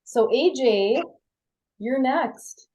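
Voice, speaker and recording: A woman's voice: sits high, animated, drawn-out words, warm tone. A woman hosting a committee meeting on a video call, calling on each member by name. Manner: friendly, calls on people by name. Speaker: meeting host.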